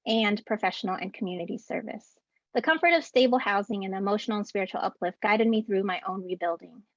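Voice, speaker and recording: A woman in her thirties, an educator, giving a prepared ceremony speech from home over a video call in an earnest, deliberate way, reading out rhythmic lists. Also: medium pitch, dry recording. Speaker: keynote speaker and educator. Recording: dull, dry video-call audio.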